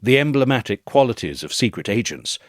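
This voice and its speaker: A man narrating a literary essay from a script in a measured, articulate and expressive way. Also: medium pitch, no room sound, clean studio sound. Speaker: narrator reading an essay aloud.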